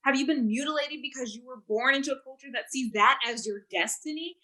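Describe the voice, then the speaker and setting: Woman playing an accusing activist in a play read over video call, high sharp voice, really insistent. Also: a little fast. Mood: challenging. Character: earnest, confrontational female activist challenging a talk show host. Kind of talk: staged play reading.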